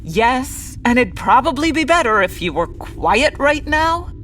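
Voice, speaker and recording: A woman playing an earnest, grandiose narrator of an old-time radio adventure serial, dramatic, measured and a little pompous. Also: high-pitched, big pitch swings, fairly bright tone, a little hiss or hum, heavily acted. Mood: irritated.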